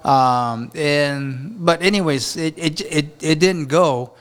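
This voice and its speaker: A man from Japan, a chef and restaurant owner, talks in a rambling, halting way with many restarts during an interview at a beach hotel. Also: a little high, smooth. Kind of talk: casual interview talk.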